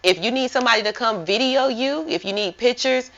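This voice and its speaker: A woman's voice: a little high, brisk, fairly bright tone. Encouraging woman host, lo-fi sound. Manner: upbeat, encouraging, says like a lot. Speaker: interview host. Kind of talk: casual chat. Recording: muffled lo-fi audio.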